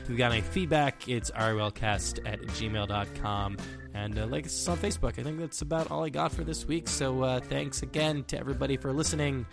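A man's voice: medium pitch, brisk, gravelly, few pauses. Chatty male podcast host over music. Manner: chatty, enthusiastic, repeats words as he goes. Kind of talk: solo podcast outro. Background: music playing.

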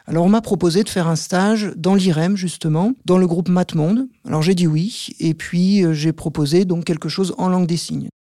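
A man who teaches maths, answering interview questions in a measured, step-by-step way with frequent hesitant pauses. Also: high voice, fairly even intonation, mellow, dry recording, clean studio sound. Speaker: maths teacher, podcast guest.

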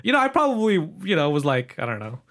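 A young man hosting a podcast, telling stories in an animated way, full of fillers and laughter. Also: on the high side, fast, no echo, studio quality. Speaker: podcast host. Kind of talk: casual podcast chat.

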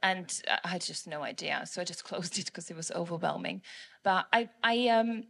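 A woman's voice: fairly low pitch, normal speed, creaky. A woman from the Czech Republic with a Czech accent, nervously giving a testimony in church on a handheld mic, hesitant and giggly with many fillers. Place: church service on stage. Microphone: handheld microphone.

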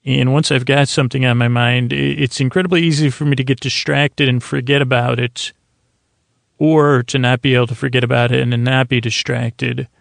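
A middle-aged man with a creaky voice hosting a bedtime podcast in a slow, lulling, meandering way, haltingly repeating words. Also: mid-range voice, little pitch movement, dry recording, clean studio sound. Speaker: podcast host. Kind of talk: rambling bedtime monologue for sleep.

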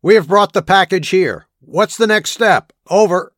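Man playing an aggressive high-pitched thug kidnapper. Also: animated, unhurried, a bit bright, no echo, clean studio sound. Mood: gruff, businesslike. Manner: aggressive, barking. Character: big tattooed thug kidnapper, menacing and impatient. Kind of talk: audio drama acting.

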